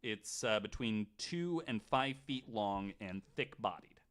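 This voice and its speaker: A man's voice: lowish voice, animated, a little slow, fairly bright tone, clean tone. A man in casual podcast conversation, retelling researched stories with a joking, sarcastic, sometimes sweary manner. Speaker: podcast storyteller on a panel.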